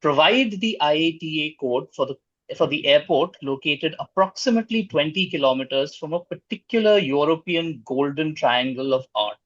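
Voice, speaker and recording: A man hosting a quiz, reading out questions in a formal, measured way. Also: on the high side, expressive, fairly bright tone, no room sound, dull audio.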